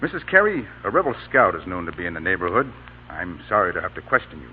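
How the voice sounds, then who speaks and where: An actor playing an authoritative yet gentlemanly army colonel in an old radio drama. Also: male voice, sits low, sing-song, fast. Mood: courteous, gentle. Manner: authoritative, clipped military orders, gentlemanly. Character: commanding, courteous male Union army colonel, stern but kindly. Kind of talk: 1930s-40s radio drama acting. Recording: dull, noisy vintage radio broadcast.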